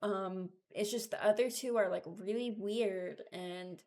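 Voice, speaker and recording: A woman giving informal, halting commentary as a video creator, thinking aloud while she ranks things. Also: mid-range voice, a little mellow. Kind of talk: casual commentary, ranking game voice lines.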